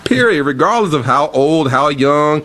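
A man's voice: a little high, animated, moderate pace, fairly bright tone. A man who produces a morning radio show, chatting on air and giving frank, frustrated opinions. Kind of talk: casual on-air banter.

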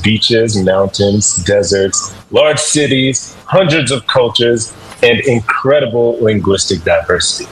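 A man's voice: on the low side, rough recording. A man working as a French teacher, explaining things conversationally in an encouraging, instructive way, with noticeable background noise. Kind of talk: conversational language lesson.

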